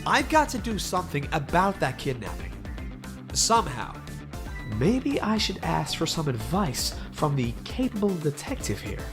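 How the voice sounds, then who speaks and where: A woman's voice: low-pitched, big pitch swings, normal speed. A woman voicing an anxious, wry amnesiac ghost who is determined to help, in a game fandub. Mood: wry, worried. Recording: voice under prominent music.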